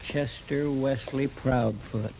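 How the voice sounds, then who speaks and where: An actor playing a loyal, sarcastic marshal's sidekick in a 1950s western radio drama, with a folksy rural American drawl. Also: male voice, mid-range voice, fairly even intonation, takes it slowly, creaky. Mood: wary. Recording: muffled, noisy 1950s radio recording.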